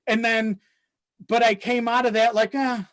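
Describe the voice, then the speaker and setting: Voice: medium pitch, little pitch movement, measured pace, fairly bright tone, no room sound. Rambling storyteller, dry close sound. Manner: rambling, often chuckling while talking, swears casually. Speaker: podcast guest. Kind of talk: casual chat, personal storytelling.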